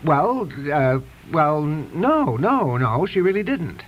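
A man's voice: a little high, sing-song, slow pace, heavily acted. An actor playing a flustered, old-fashioned married man who hesitates and stammers, in a radio comedy-drama. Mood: defensive. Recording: muffled, faintly noisy radio recording.

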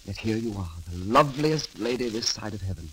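An actor playing a roguish, glib young poet-thief in a theatrical, flowery 1930s radio drama manner. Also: male voice, on the low side, animated, a little fast, noisy background, natural voice. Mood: ardent, romantic. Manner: theatrical, flowery, grandly declaiming. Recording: old 1930s radio broadcast, music behind.

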